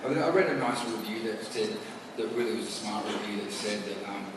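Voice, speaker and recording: A man's voice: lowish voice, fairly quick, noisy background. A man on a convention panel, answering a fan in a rambling, joking way, often repeating words. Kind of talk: answering fan questions on stage. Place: large convention hall. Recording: echoey hall from far off.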